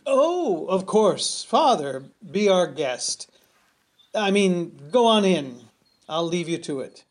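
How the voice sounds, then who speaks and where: An actor playing a mild but stubborn middle-aged landowner in a radio play, plainspoken and placating. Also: male voice, sits high, very animated, slow, exaggerated voice. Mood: obliging. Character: mild, stubborn small-town landowner, a reasoning brother.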